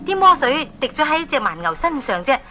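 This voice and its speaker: High, animated woman storyteller. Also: brisk, over music. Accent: Hong Kong. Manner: animated, exclamatory. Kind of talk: dramatised children's story narration.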